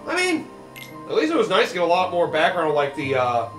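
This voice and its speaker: A man's voice: high voice, wildly swooping pitch, fairly quick, a bit bright, roomy sound, soft background music. A man co-hosting a let's play, rambling and hesitant with many fillers. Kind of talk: casual commentary chat.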